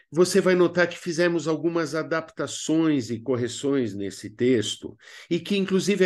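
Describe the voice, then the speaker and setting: Expressive Brazilian man with animated pitch. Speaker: drama workshop teacher. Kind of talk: scripted instructional narration.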